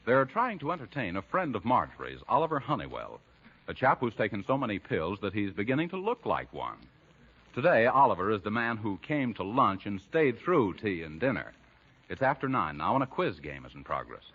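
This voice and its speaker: Animated, husky 1940s radio announcer man. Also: lowish voice, fairly quick. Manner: polished, emphatic salesmanship. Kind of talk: 1940s radio scripted announcing, sales pitch. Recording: muffled 1940s radio recording.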